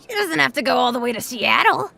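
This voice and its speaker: A grumpy ghoul woman, centuries old, voiced by an actress for an audio drama. Her voice is full of gravel and has a sharp, forceful edge. She talks bluntly, with dry sarcasm. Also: high voice, big pitch swings, fast talker, full character voice. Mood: grim, matter-of-fact. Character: grumpy, sardonic irradiated ghoul woman, nearly 250 years old.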